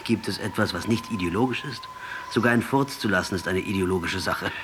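An actor in a German radio play voicing a fervent, mocking revolutionary commander with a crude mouth. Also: male voice, low-pitched, fairly quick, a bit bright. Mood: scornful provocation. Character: fervent, defiant Latin American guerrilla commander and revolutionary. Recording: noticeable background noise.

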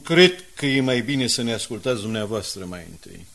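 An actor playing a brash accomplice in blackmail in a radio drama, insistent, needling and mock-courteous. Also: male voice, fairly low pitch, expressive, gravelly, a bit bright. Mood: insinuating.

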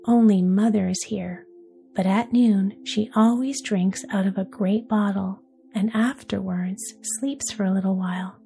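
A woman voicing a bold, wild young robber girl in a soft whisper. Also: medium pitch, steady tone, unhurried, creaky, faint music behind. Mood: bossy.

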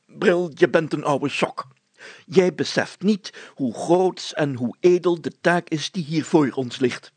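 A man's voice: a little high, slow, breathy edge, no echo, pitched above the performer's own voice. A man voicing a pompous, blustering village mayor from a storybook, speaking in grandiose, declamatory style. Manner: grandiose, declamatory, easily indignant. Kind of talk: storybook character voice.

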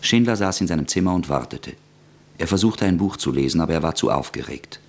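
A man narrating a radio drama in a measured, even way. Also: sits low, slightly rough. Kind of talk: scripted narration.

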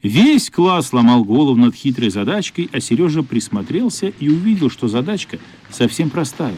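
A man narrating a radio play in an expressive, measured literary storytelling style. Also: mid-range voice, slightly rough.

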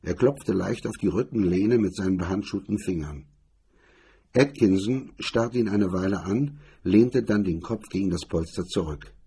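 A man's voice: deep voice, normal speed, gravelly, mellow, breathy edge. A man narrating a scripted crime story as its reader.

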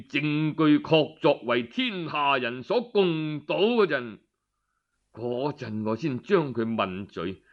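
A man's voice: a little high, highs cut off. A man voicing a scheming, haughty feudal lord of ancient China in Cantonese, with cold scorn and sinister calculation.

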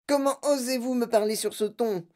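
A woman voicing a haughty, indignant mother in a storybook, with an affected, drawn-out delivery. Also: fairly high pitch, darkish tone, voice pushed up, lightly acted.

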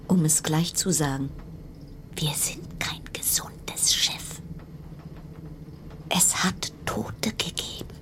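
An actress playing a brittle, self-reassuring woman isolated in a ship's cabin, musing haltingly and repetitively in in a radio play, at times dropping into whispers. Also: on the low side, slight background noise. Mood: hushed unease. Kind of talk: German radio play monologue.

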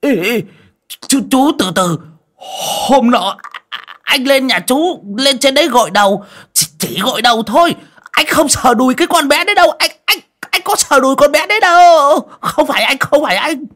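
A man's voice: medium pitch, animated, slow, long pauses, a bit bright, pitched above the performer's own voice. A man voicing a cowardly, blustering older village coffin maker who stammers and speaks coarsely in an audiobook story. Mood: panicked. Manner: stammering, panicky, coarse rustic speech.

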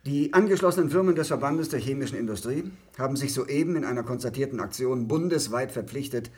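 An actor playing an officious, defensive male industry lobbyist with a slightly husky voice in a radio drama. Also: medium pitch, lively intonation, fast talker. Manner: officious, self-justifying.

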